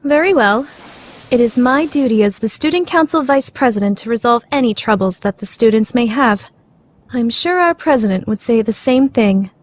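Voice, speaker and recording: A woman's voice: fairly high pitch, animated, moderate pace. A woman playing a proud, scheming teenage student council vice president in an anime radio play, formal and theatrical. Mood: scheming, composed. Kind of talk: anime fan dub radio play acting.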